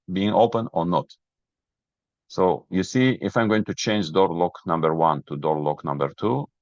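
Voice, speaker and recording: A man who is a non-native English speaker presents a software webinar, explaining each step methodically. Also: sits low, creaky. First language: not English. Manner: methodical, step-by-step, checks understanding. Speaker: webinar presenter, product trainer. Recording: roomy, dull lo-fi webinar audio.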